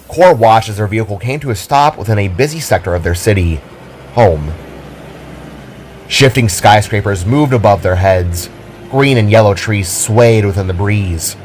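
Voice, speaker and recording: A man's voice: lowish voice, a little hiss or hum. A man reading scripted story narration as the narrator of an audio drama, with music behind him. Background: background music.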